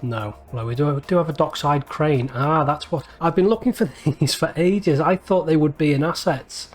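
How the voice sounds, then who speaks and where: A man's voice: fairly high pitch, normal speed. A man with a Scouse accent giving casual gameplay commentary, chatting and thinking aloud with frequent restarts and asides to himself. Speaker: video game let's-play creator.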